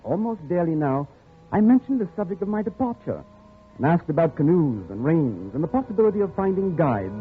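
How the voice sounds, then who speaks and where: An actor playing an uneasy, polite man who tells his story in a measured, reflective way in a 1940s radio drama. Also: fairly high pitch, very animated, phone-quality sound. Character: uneasy, polite male visitor narrating his captivity. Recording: thin old radio recording with music.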